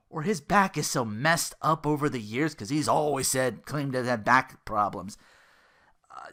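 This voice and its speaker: Animated, sarcastic podcast ranter with swooping pitch. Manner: animated, exasperated, sarcastic, swearing. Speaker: podcast host. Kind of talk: casual ranting commentary about an internet personality.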